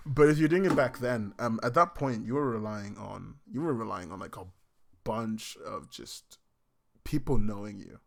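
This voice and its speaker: A man's voice: on the low side, animated, measured pace, vocal fry. Laid-back young man with British accent. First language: not English. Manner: laid-back, swears freely, laughs often. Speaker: podcast co-host. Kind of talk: casual chat.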